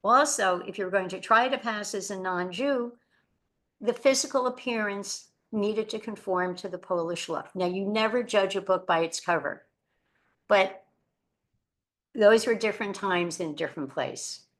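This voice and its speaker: A woman Holocaust educator, giving an earnest lecture that tells rescue stories and drops quietly at somber moments. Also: medium pitch, moderate pace. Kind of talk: lecture presentation.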